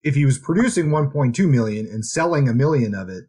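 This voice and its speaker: A man's voice: medium pitch, animated, fast talker, warm tone, breathy edge. A man with a mid-range voice talks casually about books as a podcast co-host. He is conversational and tends to think aloud, feeling his way through each point. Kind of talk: casual book discussion.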